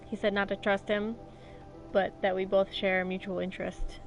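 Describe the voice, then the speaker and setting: A woman's voice: medium pitch, flat delivery, normal speed, soft background music. Scratchy-voiced woman streaming a game. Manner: chatty, reactive, shouts at the game. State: hoarse from illness. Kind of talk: casual gameplay commentary.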